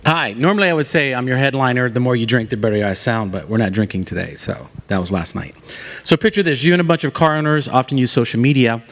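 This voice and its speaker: Quick talking guy joking through his hackathon pitch on stage. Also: fairly bright tone. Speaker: hackathon team presenter. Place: conference stage.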